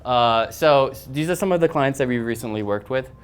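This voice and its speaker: A young man who founded a design agency, telling a rambling, animated story to a crowd on a handheld microphone in an event room. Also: medium pitch, fairly quick, smooth, faint music behind. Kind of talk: casual presentation to an audience.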